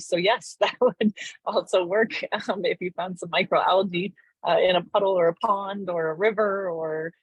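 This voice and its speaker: A woman in her thirties speaking as a guest researcher on a video call, relaxed and quick to laugh. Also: fairly low pitch, husky, dry recording, rough recording. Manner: relaxed, laughs easily, some restarts. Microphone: laptop mic on video call.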